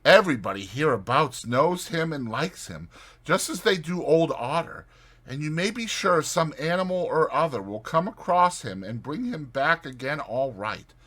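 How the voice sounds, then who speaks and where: Man voicing an easygoing, high-voiced storybook mole. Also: expressive, normal speed, a bit bright. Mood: light, reassuring. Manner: light, reassuring, casual. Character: easygoing, unworried male mole, kindly animal friend. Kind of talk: dramatized storybook character reading.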